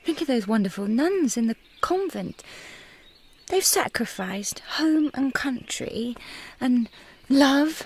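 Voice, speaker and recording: An actress playing a grieving young widow in a radio drama, solemn and contemplative. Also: female voice, high-pitched, lively intonation, takes it slowly, husky, full character voice. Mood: sombre, wondering.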